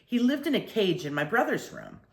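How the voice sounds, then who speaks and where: An older woman reading a children's story aloud expressively, with deliberate phrasing and drawn-out emphasis. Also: medium pitch, huge pitch swings, vocal fry, reverberant, low-quality recording.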